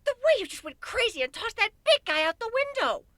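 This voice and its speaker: A hyperactive little filly in a read-aloud cartoon-pony story. The voice is extremely high and squeaky, bright and swooping, gushing with excitement. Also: male voice, fairly quick, no room sound, studio quality, heavily acted. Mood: excited.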